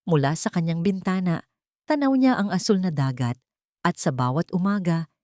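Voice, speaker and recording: A woman's voice: fairly low pitch, mellow, dry recording, clean studio sound. A woman reading scripted, dramatic narration as a storyteller.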